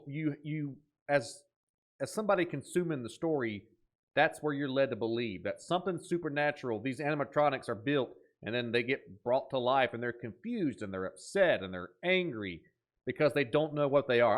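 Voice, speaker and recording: A man hosting a horror reaction video, talking casually and enthusiastically, joking around and reading captions aloud. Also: medium pitch. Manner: animated, jokey, enthusiastic.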